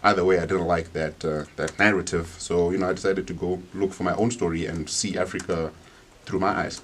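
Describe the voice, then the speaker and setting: Low-voiced relaxed young man. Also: fairly quick, a little hiss or hum. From Malawi. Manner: relaxed, loose, with many fillers. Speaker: guest. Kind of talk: radio interview. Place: radio studio.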